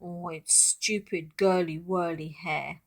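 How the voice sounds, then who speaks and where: A woman's voice: lowish voice, fairly even intonation, slow pace, creaky, full character voice. Woman playing a glum, self-critical little schoolgirl. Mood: sulky. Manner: sulky, dismissive, self-critical. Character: glum, self-deprecating young schoolgirl convinced she is worthless.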